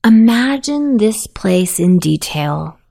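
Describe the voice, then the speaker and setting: A woman narrating a guided sleep meditation in a soothing, softly spoken, unhurried manner. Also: medium pitch, big pitch swings, gravelly.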